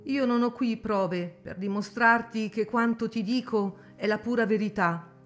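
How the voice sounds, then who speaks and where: Woman voicing a gentle wounded young mother, dignified, pleading, radio drama. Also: fairly high pitch, a little slow, soft background music, lightly acted.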